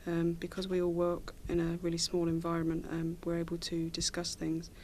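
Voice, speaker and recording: A woman's voice: fairly low pitch, monotone, fairly quick, mellow, slight background noise. A thirty-year-old woman, giving an interview testimonial about her own work. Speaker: arts fellow, gallery and volunteer manager.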